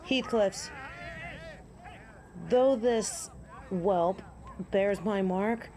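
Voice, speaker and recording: A woman playing a proud, defiant, sharp-tongued werewolf in an audio drama, with a stern, sardonic manner. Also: medium pitch, drawn-out words. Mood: stern, commanding. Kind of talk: dramatic audio roleplay acting. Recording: faint background noise.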